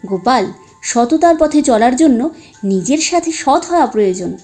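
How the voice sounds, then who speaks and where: A woman voicing a brave, clever young village girl in a storybook tale, speaking calmly and steadily. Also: sits high, normal speed, soft background music. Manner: calm and steady.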